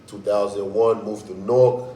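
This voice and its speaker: A man's voice: lowish voice, fairly even intonation, normal speed, mellow. A man in his thirties from Liberia, a guest telling his life story casually and laughing often. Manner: laughs often, lots of "you know what I'm saying". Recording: roomy, distant mic, faint noise.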